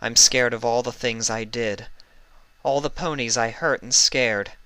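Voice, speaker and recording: A man's voice: medium pitch, steady tone, a little slow, sharp tone, clear, resonant tone, no room sound, pitched above the performer's own voice, poor audio. A man voicing an anxious, guilt-ridden female pony princess from a fan story, pleading and earnest. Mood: frightened. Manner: emotional, earnest, pleading delivery.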